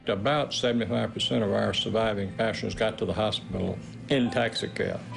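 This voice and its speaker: Very gravelly man, halting recollection. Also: fairly low pitch, fairly even intonation, over music. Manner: halting, searching for words. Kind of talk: interview recollection.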